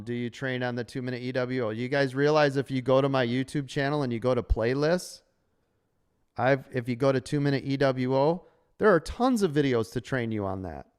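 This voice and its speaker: A man's voice: normal speed, smooth. A man coaching stock traders on a live stream, upbeat and salesy while losing his voice, talking from a sunny room full of windows. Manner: upbeat, sales-pitch delivery. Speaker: trading coach and host. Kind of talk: live stream chat with members.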